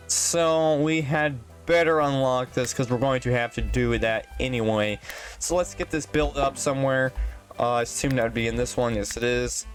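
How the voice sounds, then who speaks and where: A congested man giving casual, rambling commentary as he plays a game, thinking aloud and often unsure. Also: medium pitch. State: congested from allergies. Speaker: gaming channel host.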